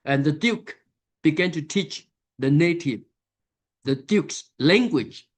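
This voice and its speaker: A man giving a conference lecture, unhurried and full of fillers and pauses. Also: a little high, expressive. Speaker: conference keynote speaker. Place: conference hall.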